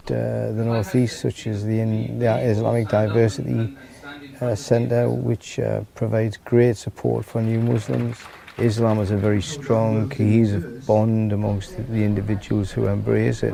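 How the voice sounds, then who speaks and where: A man speaking in a measured interview, pausing often with fillers. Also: on the low side, little pitch movement, vocal fry, crowd noise. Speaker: writer and convert. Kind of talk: interview testimony.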